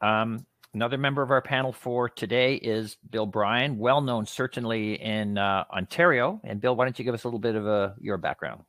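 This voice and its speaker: A man's voice: on the low side, normal speed, a bit bright, a little hiss or hum. A man moderating a live webinar in a chatty, rambling way. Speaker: panel host. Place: indoor room, video call. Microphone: video call microphone.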